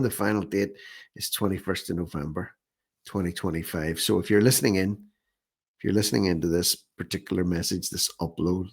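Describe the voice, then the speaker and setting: A man's voice: fairly low pitch, gravelly, slightly rough. An Irish man hosting informal political commentary in a sarcastic, scornful manner, often repeating phrases for emphasis. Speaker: online commentator, host.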